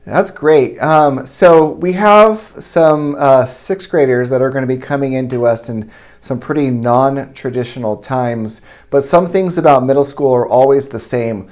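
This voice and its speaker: A man's voice: mid-range voice, a little slow, a little mellow. A man speaking as a school principal, hosting an interview and putting questions in a semi-formal way.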